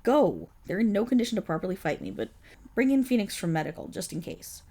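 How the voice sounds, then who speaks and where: A woman playing a silky, gravelly villain boss. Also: medium pitch, big pitch swings, fairly quick, mellow. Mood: menacing tenderness. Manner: silky, condescending, purring endearments. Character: suave, scheming villain boss, possessive and protective. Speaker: voice actor. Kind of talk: ASMR roleplay audio drama.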